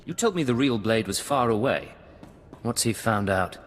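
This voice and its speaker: An actor playing a calm, dry imperial spy who speaks in clipped lines, in a video game cut-scene. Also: male voice, fairly low pitch, moderate pace, slight background noise, close to an everyday voice. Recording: long reverb.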